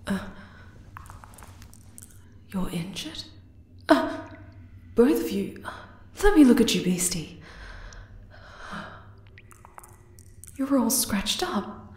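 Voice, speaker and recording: A woman voicing a regal, possessive yet tender spider queen in an ASMR roleplay, soft and deliberate in manner. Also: on the high side, big pitch swings. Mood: alarmed, protective. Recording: roomy, echoing cave-like reverb.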